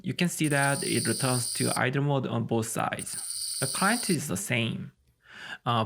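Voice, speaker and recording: A man with a medium-pitched voice delivers a technical tutorial. He works through his scripted explanation in a methodical, step-by-step way, as a presenter guiding learners. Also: background noise.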